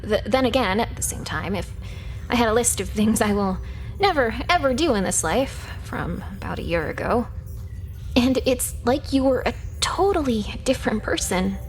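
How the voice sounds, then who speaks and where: A woman's voice: fairly high pitch, lively intonation, normal speed, soft background music, close to an everyday voice. An actress playing a sardonic, teasing young female hacker in a sci-fi video game, speaking in a matter-of-fact way. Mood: frank, teasing. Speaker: video game character actor. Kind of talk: scripted video game character dialogue.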